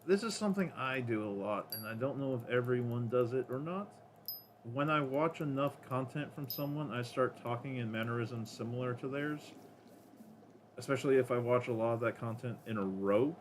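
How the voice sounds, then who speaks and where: A man with a deep voice casually rambling to himself while streaming a game. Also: normal speed, a little hiss or hum. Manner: rambling, self-talking, counts under breath. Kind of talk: casual gameplay commentary.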